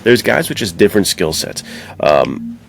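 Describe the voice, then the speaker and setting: A man's voice: deep voice, big pitch swings, moderate pace, vocal fry, fairly bright tone, slight background noise. A man co-hosting a podcast in casual, slangy chat, giving his opinions. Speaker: podcast co-host.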